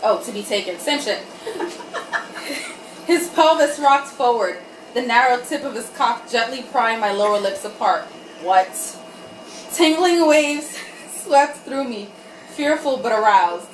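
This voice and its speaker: A woman's voice: on the high side, expressive, a little slow, long pauses. A tipsy woman giving an irreverent, giggly dramatic reading, hosting for a group and breaking off for asides. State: tipsy from wine. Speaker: reader and host of a comic group reading. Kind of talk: dramatic reading of erotic fiction with joking asides. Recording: roomy, distant mic, faint background noise.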